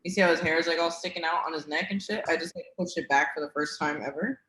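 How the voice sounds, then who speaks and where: A woman in her twenties hosting a casual livestream with friends, blunt and quick to swear as she tells stories. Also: low-pitched, a bit bright, reverberant, rough recording. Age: 20s. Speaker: livestream host. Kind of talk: casual chat among friends.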